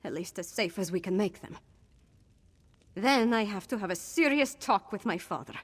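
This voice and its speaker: A woman playing a determined, grieving young Nord princess in a video game, with a husky voice. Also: medium pitch, close to an everyday voice. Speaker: princess giving orders. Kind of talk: video game character acting.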